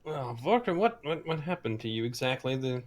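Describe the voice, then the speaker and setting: A man's voice: medium pitch, big pitch swings, fairly quick. Hesitant man chatting in a game. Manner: hesitant, giggly. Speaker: player. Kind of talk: casual chat.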